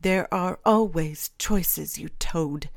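Woman acting a scornful, angry girl, low-voiced. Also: takes it slowly, creaky, no echo, studio quality. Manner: cold, biting, heated retorts.

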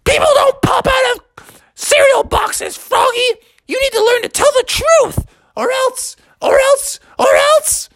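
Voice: very high-pitched, measured pace, gravelly, fairly bright tone, no room sound, voice pushed up, exaggerated voice. A performer voicing an exasperated frog mother in a children's story, scolding shrilly and sarcastically.

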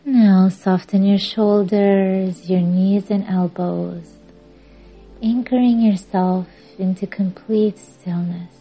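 A woman guiding a yoga nidra meditation in a measured, soothing, instructing way with long pauses. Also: mid-range voice, steady tone, warm tone. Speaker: meditation podcast host and guide. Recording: dull lo-fi audio under music. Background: music.

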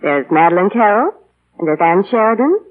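A perky, animated radio ad girl. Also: medium pitch, fairly quick. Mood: perky, coy. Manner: perky, coy, scripted. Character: chirpy, coy young woman praising beauty soap. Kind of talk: 1940s radio advertising. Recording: tinny, rough 1940 radio broadcast.

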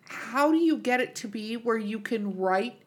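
A woman's voice: on the high side, lively intonation, measured pace, slightly rough. A woman hosting a podcast in casual chat, irreverent and swearing freely. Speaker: podcast host.

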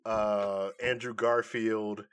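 A man co-hosting a podcast in casual chat, informal and often halting as he thinks aloud. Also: lowish voice, flat delivery, smooth. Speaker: podcast co-host. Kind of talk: casual chat, pitching comic movie ideas.

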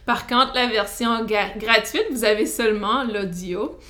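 A woman with an Acadian French accent, hosting her own podcast as a psychotherapist, speaking in a relaxed monologue with frequent hesitations and light laughs. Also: fairly high pitch, a little slow. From New Brunswick, Canada. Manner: hesitant, many "euh" fillers, light laughs.

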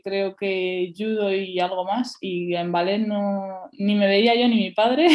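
A woman in her twenties with a voice of middle pitch. She speaks casually and chattily as an interview guest, padding her sentences with everyday fillers. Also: a little mellow. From Madrid, Spain. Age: 20s. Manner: chatty, many fillers like "y tal", "en plan". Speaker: guest athlete.

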